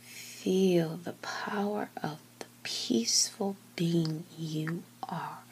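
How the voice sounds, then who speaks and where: A woman with a low, breathy voice guides a meditation at an extremely slow pace. She speaks gently and calmly, often close to a whisper, leaving long pauses between short phrases. A faint ambient noise sits behind her. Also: low-quality recording. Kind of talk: slow guided meditation, soothing scripted narration.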